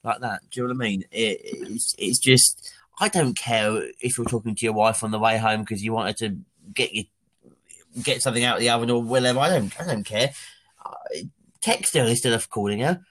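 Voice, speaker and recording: A man's voice: mid-range voice, brisk, fairly bright tone, slight background noise, rough recording. A man, co-hosting a podcast in casual chat, stammering into restarts and laughing often. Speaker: podcast co-host.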